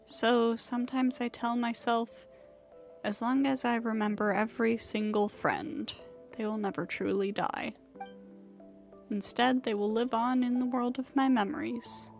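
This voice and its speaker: A woman with a fairly high, fry-edged voice on a game livestream. She reads dialogue aloud and reacts to it, with faint game music underneath. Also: fairly even intonation, measured pace. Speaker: game streamer.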